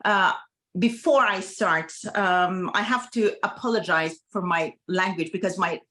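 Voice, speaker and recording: Non-native woman doctor, halting English. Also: on the low side, bright, forceful tone. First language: Farsi. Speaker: medical doctor on a panel. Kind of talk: online panel presentation. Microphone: video call.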